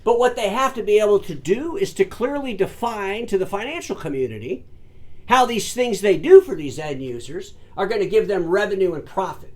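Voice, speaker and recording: Emphatic high-voiced man talking shop. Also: animated, a little fast. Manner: emphatic, addresses audience as folks and ladies and gentlemen; repeats words and restarts often. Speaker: host of an industry commentary show. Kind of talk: solo commentary to camera.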